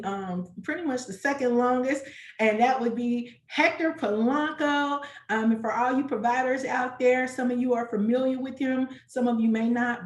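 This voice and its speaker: A woman's voice: a little high, reverberant. A woman in her sixties hosting a casual preschool program chat, talking in a chatty, affirming way with many restarts. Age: older. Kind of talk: casual online panel chat.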